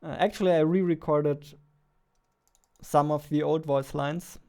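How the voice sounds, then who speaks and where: A young man talks casually through his work at a computer, thinking aloud and joking at his own expense. His voice sits fairly high for a man, is very clear, and stays in a narrow range of pitch. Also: steady tone, a little slow, a little mellow. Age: young adult. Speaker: indie game developer vlogging. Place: indoors at a computer desk.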